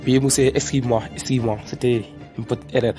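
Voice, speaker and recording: Eager cartoon student someone acting it, explaining history kinda haltingly, polite to the prof, bit of music behind. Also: mid-range voice, fairly even intonation, natural voice. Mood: earnest, apologetic. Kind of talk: educational cartoon dialogue, lecture-like recitation.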